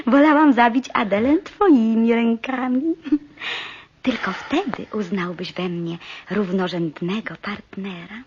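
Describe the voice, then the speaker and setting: An actress playing a calculating, seductive nurse and mistress in a radio drama, coaxing and quietly ruthless. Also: female voice, on the high side, husky, full character voice. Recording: dull, muffled radio play recording.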